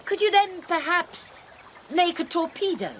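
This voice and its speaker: An actress playing a prim, determined missionary spinster who speaks in a proper, insistent manner. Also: female voice, extremely high pitch, unhurried, noisy background. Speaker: actor. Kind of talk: radio drama acting.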